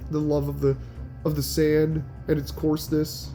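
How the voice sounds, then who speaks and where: A man's voice: fairly high pitch, monotone, normal speed, dark tone, faint music behind. A young man giving casual, rambling gameplay commentary with a slightly nasal voice, often restarting sentences. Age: young adult. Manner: rambling, chatty, frequent restarts and filler likes. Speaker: gaming channel host.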